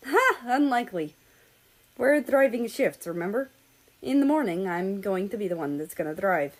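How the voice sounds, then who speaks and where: A woman's voice: mid-range voice, expressive, normal speed, close to an everyday voice. A woman voicing a smug, playful male travel companion in dialogue from a story reading.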